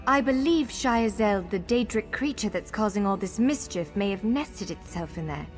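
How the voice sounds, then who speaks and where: A woman playing a spirited warrior guide in a video game, brisk and encouraging but candidly wary. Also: medium pitch, expressive, natural voice. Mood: wary, explanatory. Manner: brisk, encouraging, urgent in combat. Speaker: game companion and tutorial guide. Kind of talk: scripted video game dialogue. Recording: long hall reverb, clear music behind. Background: game music.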